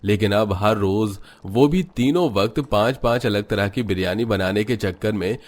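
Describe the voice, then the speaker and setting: A man's voice: on the low side, moderate pace. A man narrating a Hindi cartoon story in a scripted storytelling style.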